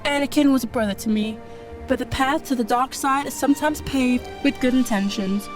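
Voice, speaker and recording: A woman playing a solemn, protective warrior mentor with an earnest, measured delivery in a sci-fi audio drama. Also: high voice, faint music behind, lightly acted.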